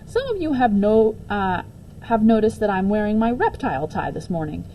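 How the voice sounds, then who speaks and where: A woman's voice: medium pitch, big pitch swings, normal speed, dark tone, a little hiss or hum, rough recording. A woman voicing a smart, funny male school principal making announcements to pupils in a children's storybook. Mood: friendly, teasing. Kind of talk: children's storybook read-aloud.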